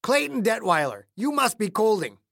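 A narrator voicing a gruff, scowling older caretaker with a folksy, surly manner. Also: medium pitch, sing-song, moderate pace, a bit bright, no room sound, studio quality, voice pushed up. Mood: gruff greeting. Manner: cantankerous, folksy, tacks "eh" onto sentences.

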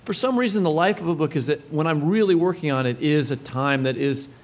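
A man's voice: on the high side, brisk. A man co-hosting a live literary podcast, chatting casually in a jokey, rambling way. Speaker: podcast co-host. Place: live on stage at a book fair. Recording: live event with audience noise.

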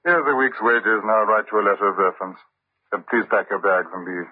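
An actor playing an exasperated, bewildered English squire and husband in stiff, protesting old-time radio drama style. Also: male voice, fairly low pitch, steady tone, fairly quick, close to an everyday voice. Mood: curt, hesitant. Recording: muffled old radio broadcast recording.

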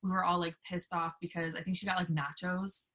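A woman chatting casually as an interview guest, animated and playful, swearing freely as she tells anecdotes. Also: on the low side, fairly quick. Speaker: guest, professional wrestler being interviewed.

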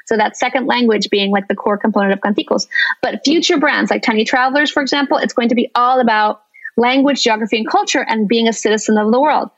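A middle-aged woman raised between Venezuela and the United States, speaking as a guest in a conversational interview, animated and quick to laugh. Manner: animated, laughs often, high bursts when quoting others. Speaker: guest, company founder and illustrator. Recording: slightly dull lo-fi audio.

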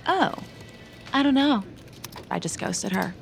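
An actress playing a breezy, self-absorbed young office gossip in an audio drama, gushing and slangy with drawn-out emphasis. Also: female voice, sits high, very animated, creaky, slight background noise, full character voice. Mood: breezy advice. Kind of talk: scripted audio drama acting.

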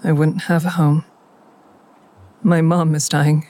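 A woman's voice: deep voice, flat delivery, slow, dark tone. Anxious, conflicted woman in audio drama. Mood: worried.

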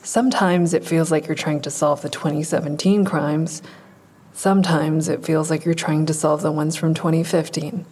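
A woman playing a weary, guarded, traumatized woman in an audio drama, curt and sardonic, with a husky voice. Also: sits low, fairly even intonation, moderate pace, darkish tone. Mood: weary, bitter. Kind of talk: full-cast audio drama acting.

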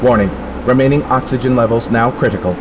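An actor playing a spacesuit computer in an audio drama, coldly logical and polite, speaking in a measured, clinical, literal-minded way. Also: male voice, mid-range voice, background noise, lightly acted. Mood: calm, clinical warning.

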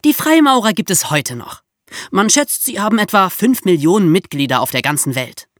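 A history podcast co-host speaks with very animated, swinging intonation. The delivery is conversational and explanatory, with playfully ironic emphasis. The sound is dry and free of room echo. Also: mid-range voice, moderate pace.

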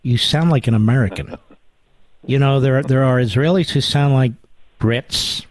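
A man's voice: mid-range voice, moderate pace, no echo. A middle-aged man hosting a talk radio show, speaking emphatically, running an interview and reading ads. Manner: emphatic, salesman-like. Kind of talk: interview and ad read.

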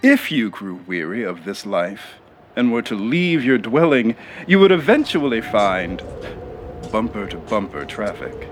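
A grandiose male storyteller narrates a sci-fi audio serial over faint music. His delivery is slow, ominous and theatrical, with sweeping pitch. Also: big pitch swings. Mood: solemn, ominous. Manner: dramatic, deliberate.

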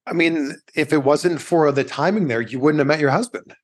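A man's voice: on the high side, moderate pace. A man hosting an interview in a conversational style, putting questions and remarks to his guest. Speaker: interview host.

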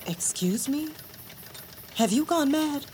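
A woman playing a sharp-tongued, sensible 1860s wife in an audio drama. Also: high-pitched, lively intonation, takes it slowly, a little hiss or hum. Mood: exasperated.

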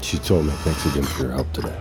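An actor playing a pragmatic, persuasive tech executive in a naturalistic audio drama. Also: male voice, extremely low pitch, fast, vocal fry, over music. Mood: teasing, probing. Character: pragmatic, placating male tech executive and friend.

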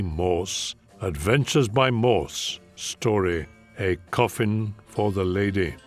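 A man introducing old radio shows as host, measured and formal. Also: lowish voice.

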